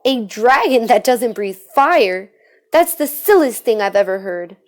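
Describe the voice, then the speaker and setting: Lady doing a pompous dad knight from a kids story, loud, bossy, looks down on everyone. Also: on the high side, expressive, a little slow. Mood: scornful. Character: pompous adult male knight, bossy scornful father.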